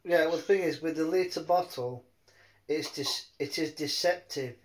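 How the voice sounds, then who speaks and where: A man chatting casually in a rambling, halting way, often repeating his words. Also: on the high side, poor audio. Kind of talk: rambling casual phone chat.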